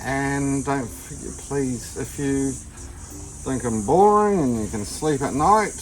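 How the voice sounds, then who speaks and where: A man's voice: mid-range voice, takes it slowly, rough recording. Easygoing New Zealand man rambling outdoors. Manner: self-described monotone, chatty, drifting off topic. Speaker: tortoise keeper and channel host. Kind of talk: casual rambling vlog. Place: outdoor garden with tortoise enclosure.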